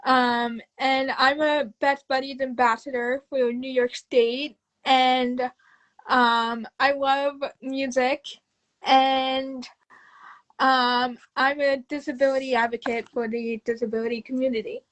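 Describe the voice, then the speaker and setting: A woman in her twenties, a podcast guest telling her own story casually, often halting and repeating phrases. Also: high voice, little pitch movement, a bit bright, poor audio. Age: 20s.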